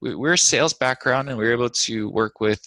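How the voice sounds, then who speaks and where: A man's voice: medium pitch, quick pace, sharp tone, no echo. A man from Hawaii, a guest entrepreneur talking in a casual interview in a modest, earnest way with frequent restarts.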